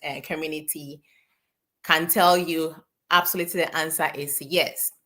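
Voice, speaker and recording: Woman from Ghana, low and bright, earnest panel speaker who stresses her points by repeating them. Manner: earnest, encouraging, repeats for emphasis. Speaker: guest speaker. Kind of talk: live online panel talk.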